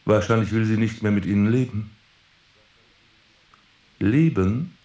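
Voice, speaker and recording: An actor playing a diabolical master criminal and hypnotist in a radio drama, coldly domineering, with a mocking, commanding delivery. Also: male voice, lowish voice, mellow, lo-fi sound, close to an everyday voice. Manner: slow, deliberate, mocking and commanding. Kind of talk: German radio drama acting. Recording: music behind the voice.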